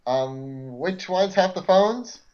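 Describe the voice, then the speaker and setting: A man's voice: sits high, lively intonation, slow, a little mellow, dull audio. A man chatting casually as a player in a tabletop roleplaying game.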